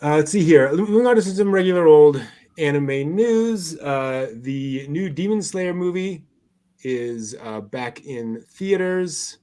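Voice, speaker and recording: A man co-hosting an anime news show in a casual, halting way, as if searching through his notes while he talks. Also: fairly high pitch, expressive, dark tone.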